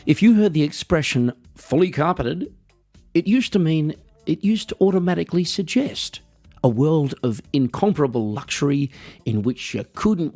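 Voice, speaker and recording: An Australian man hosting a podcast, delivering a wry, rambling, mock-earnest comedic monologue with a husky voice. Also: mid-range voice, a little mellow. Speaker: podcast host.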